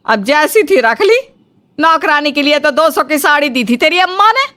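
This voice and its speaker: Sharp, scolding mother-in-law, acted by a woman. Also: sits high, lively intonation, moderate pace, no echo. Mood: defensive, indignant. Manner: scolding, dramatic. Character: indignant, domineering middle-aged Indian mother-in-law. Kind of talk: acted comic family story dialogue.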